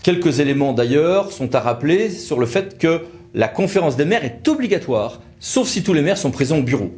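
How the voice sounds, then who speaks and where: A man's voice: fairly high pitch, sing-song, moderate pace, darkish tone. A man presenting a legal news review, explaining points in an emphatic, didactic way with conversational asides. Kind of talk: brisk explanatory commentary with interview questions.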